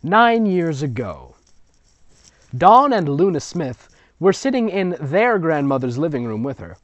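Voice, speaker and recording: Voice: medium pitch, sing-song, unhurried, no room sound. Fan fiction commentator, rambling, sarcastic, very varied intonation, mocking read-aloud, dry close lo-fi recording. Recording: dull lo-fi audio, close and dry.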